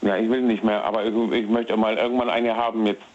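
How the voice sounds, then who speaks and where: A man in his thirties calling into a radio show, talking haltingly and repeating his words. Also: lowish voice, fairly even intonation, darkish tone. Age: 30s. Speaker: caller. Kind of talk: phone call-in to radio. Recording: muffled lo-fi phone line.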